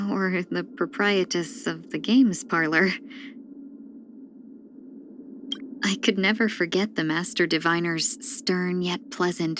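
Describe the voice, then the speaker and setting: A woman voicing a video game character, a gentle, wistful fox-woman who speaks softly and politely in measured, reflective lines. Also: mid-range voice, a little hiss or hum. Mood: wry, musing. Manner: soft-spoken, measured, reflective, drifting into breathy near-whispers; polite and refined.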